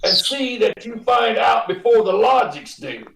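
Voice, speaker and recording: A man playing a flustered, blustering boss and henpecked husband in a radio comedy, halting and sputtering in ungrammatical speech. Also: very high voice, vocal fry, a bit bright, far from the mic, heavily acted. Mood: frantic, barking. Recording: roomy, echoing sound.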